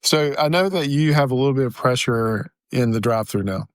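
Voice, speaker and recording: A male podcast co-host talks in a relaxed, conversational style with a mid-pitched voice. He explains his points through everyday examples and anecdotes, and the sound is dry, without room echo. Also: a little fast. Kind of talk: casual conversational chat.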